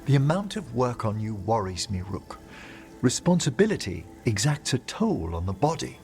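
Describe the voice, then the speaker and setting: Older gentleman scholar, genteel, fastidious, tender, lively intonation, game cutscene, music behind. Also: mid-range voice. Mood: warm, concerned. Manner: genteel, formal, precise wording. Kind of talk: video game cutscene acting.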